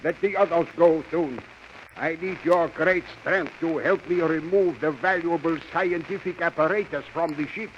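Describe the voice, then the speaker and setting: Commanding space scientist male actor, high-pitched, brisk, old radio serial, lo-fi. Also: lightly acted. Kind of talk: 1930s radio serial acting. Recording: muffled old radio recording, faint hiss.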